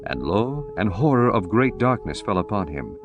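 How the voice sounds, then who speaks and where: A man reading scripture aloud as a narrator, slow, measured and solemn. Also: fairly low pitch, sing-song, narrow, tinny audio, music in the background.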